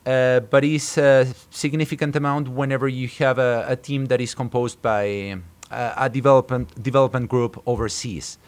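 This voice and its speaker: Halting man from Argentina in an interview. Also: mid-range voice, fairly even intonation, clear, resonant tone. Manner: halting, hesitant phrasing with repeated words. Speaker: startup co-founder being interviewed. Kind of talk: interview answers.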